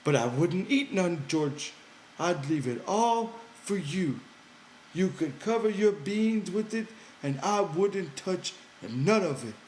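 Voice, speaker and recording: A man's voice: sits high, expressive, slow, a little mellow, roomy sound, slight background noise, pitched above the performer's own voice, low-quality recording. A man voicing a big, childlike, simple-minded ranch hand who pleads and whines in an audiobook. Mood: pleading, earnest. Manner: whiny, pleading, childlike, ungrammatical.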